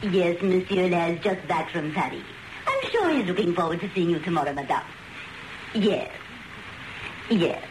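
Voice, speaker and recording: An actress playing a gracious, formal salon proprietress in a radio drama, speaking with gushing politeness. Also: female voice, on the low side, sing-song, moderate pace, long pauses. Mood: polite, gushing. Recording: roomy, noisy radio drama recording.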